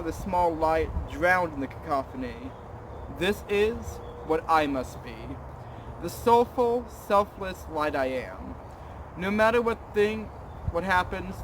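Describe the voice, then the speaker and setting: Young man, higher-pitched voice, noisy recording. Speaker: vlogger. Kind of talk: casual chat to camera. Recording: clear background noise.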